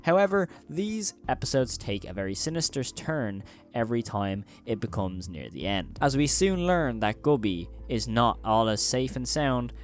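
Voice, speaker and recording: A man's voice: mid-range voice, big pitch swings, moderate pace. A man hosting a video in a commentary voice-over, talking in an emphatic, wordy way with repeated phrasing. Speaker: video host.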